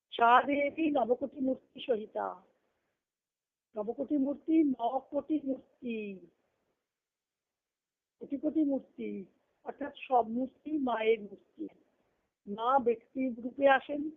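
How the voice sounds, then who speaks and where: A woman giving an earnest devotional talk to a group, repeating phrases for emphasis. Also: a little high. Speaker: spiritual discourse speaker. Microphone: phone conference call. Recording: tinny narrowband conference call line.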